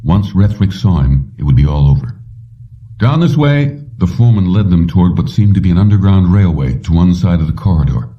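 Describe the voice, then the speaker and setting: Deep voiced guy reading a suspense audiobook, measured short phrases, bit dull sounding and distant. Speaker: audiobook narrator. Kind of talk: scripted narration of a suspense story.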